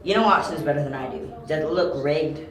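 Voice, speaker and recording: Guy acting young detective in a homemade audio drama, self assured, kinda higher voice, echoey room. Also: a little fast, lightly acted. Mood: curious, probing. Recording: roomy echo, faint background noise.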